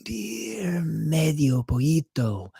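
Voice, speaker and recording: A storyteller voicing a frail, indignant little spark with a breathy, gasping, dramatic delivery. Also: medium pitch, sing-song, extremely slow, dark tone, dry recording, studio quality, voice pushed up, exaggerated voice. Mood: weak pleading.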